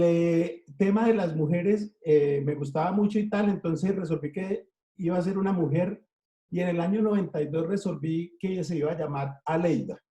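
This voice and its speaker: A middle-aged Colombian man with a Colombian accent, telling an unhurried story in an interview and listing things in rhythmic runs. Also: sits high, warm tone. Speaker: interviewed cartoonist. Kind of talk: relaxed storytelling. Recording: echoey room.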